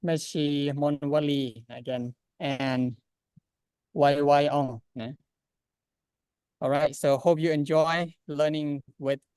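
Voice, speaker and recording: A man introducing a monastic class, speaking haltingly with many fillers. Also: a little high, fairly even intonation, a little mellow, clean tone, dry recording. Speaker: class organizer. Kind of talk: hesitant spoken introduction in English.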